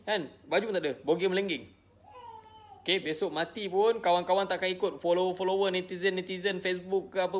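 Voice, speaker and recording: Higher-voiced Malaysian man, emphatic talker. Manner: repetitive, emphatic, punctuated with check-in tags. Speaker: social media personality addressing followers. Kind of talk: informal live monologue to followers.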